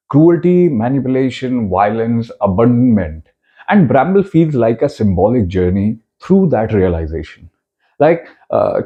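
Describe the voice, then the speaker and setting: A man with an Indian accent narrating a scripted video essay in a dramatic, emphatic way, with deliberate short phrases and switches between two languages. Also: mid-range voice, muffled recording.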